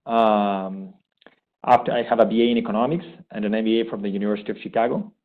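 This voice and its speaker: A man, giving an eager, halting testimonial with many restarts. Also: on the low side. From Argentina. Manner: enthusiastic, halting with frequent restarts. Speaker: graduate program participant. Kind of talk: unscripted testimonial. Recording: thin phone-like sound.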